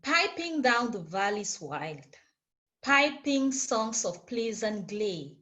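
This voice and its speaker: A woman speaking deliberately as she presents and recites poetry to an informal group. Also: on the high side, big pitch swings, pushed and bright. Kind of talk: informal introduction and poem recitation. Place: online video call.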